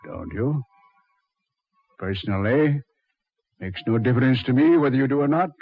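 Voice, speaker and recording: A man playing a suave, mocking Satan who ferries dead souls, unhurried and sardonically polite, in 1940s radio horror style. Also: mid-range voice, animated, no room sound. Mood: cool, commanding. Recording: dull 1940s radio recording.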